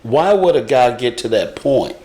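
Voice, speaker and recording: A man hosting a casual panel discussion, agreeable and questioning, with a halting delivery. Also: mid-range voice, very animated, warm tone.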